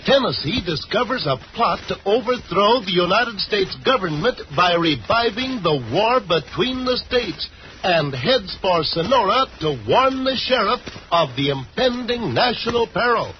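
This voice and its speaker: A man's voice: sits high, lively intonation, a little slow, a little hiss or hum. A man narrating a 1940s radio adventure serial as its announcer, dramatic and emphatic. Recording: dull old 1940s radio recording.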